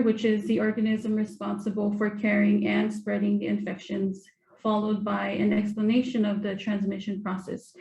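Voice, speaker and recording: A young woman from Samoa, a graduate student, giving a formal academic presentation in a careful, measured way. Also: mid-range voice, creaky, dark tone. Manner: careful, measured delivery with occasional ums. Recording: dull, roomy recording.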